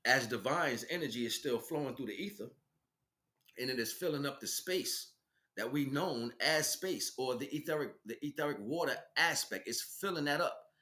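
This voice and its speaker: A man delivers an off-the-cuff spiritual monologue in a mid-pitched voice. He speaks like a teacher addressing followers, leaning on key phrases and repeating them for emphasis. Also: lively intonation, normal speed, sharp tone. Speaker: spiritual teacher, livestream host. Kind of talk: unscripted spiritual monologue, preaching.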